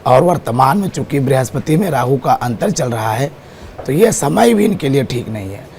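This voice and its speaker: A man's voice: medium pitch, lively intonation, normal speed, vocal fry, a little mellow, slight background noise. A man appearing as an astrologer guest on a TV show, speaking through a sore throat as he steadily lists times and dates. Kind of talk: explaining astrology on TV. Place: TV studio.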